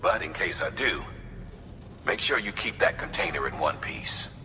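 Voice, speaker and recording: An actor voicing a grave, commanding operations officer in a video game, giving measured, serious instructions. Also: male voice, deep voice, lively intonation, background noise. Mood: cautioning. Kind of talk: dramatic video game mission briefing.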